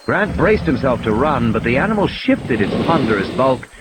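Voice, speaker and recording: A man's voice: medium pitch, very animated, normal speed, noisy background. A man reading scripted audiobook narration, with ambience and sound effects behind him.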